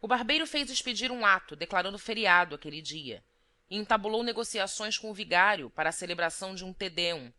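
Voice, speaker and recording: A woman reads literary prose as an audiobook narrator, in a measured, formal manner. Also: mid-range voice, animated, pushed and bright.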